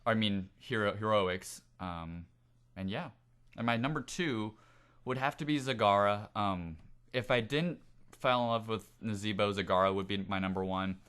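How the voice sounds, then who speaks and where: A man's voice: on the low side, a bit bright, clear, resonant tone. A man co-hosting a gaming podcast, casually discussing strategy with his fellow players. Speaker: podcast co-host.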